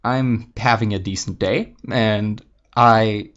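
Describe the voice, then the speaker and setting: A man's voice: fairly low pitch, steady tone, takes it slowly, fairly bright tone, muffled recording. A young man from Slovakia, casually ranting to viewers on his channel, swearing often and rambling candidly. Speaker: gaming channel host.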